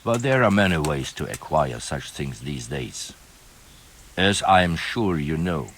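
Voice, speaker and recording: An actor playing a grim, guarded, world-weary knight with a formal, measured and ominous way of speaking, in a video game. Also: male voice, very deep voice, very animated, a bit bright, slight background noise, exaggerated voice. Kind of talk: dramatic video game acting. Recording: music behind the voice.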